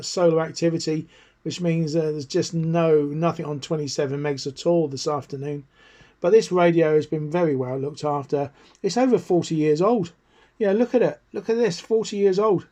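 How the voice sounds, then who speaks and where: A man's voice: a little high, steady tone, moderate pace, a little mellow, no echo. A middle-aged British man giving a casual, chatty, enthusiastic hands-on review as a hobbyist presenter. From United Kingdom. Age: middle-aged or older, over 40. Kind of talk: casual product review and demonstration.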